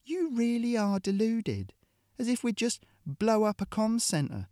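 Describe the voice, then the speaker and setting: A man voicing a big, brutal female mercenary who sneers and taunts, in a high put-on voice. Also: big pitch swings, unhurried, darkish tone, no room sound, studio quality. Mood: contemptuous.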